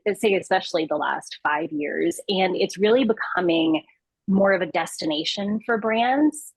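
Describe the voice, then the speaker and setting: A woman's voice: lowish voice, creaky, husky, no echo, poor audio. A woman in her thirties, a guest in an interview, talking with frequent fillers and restarts. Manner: hesitant restarts, many fillers. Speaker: guest, customer insights lead. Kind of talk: interview conversation.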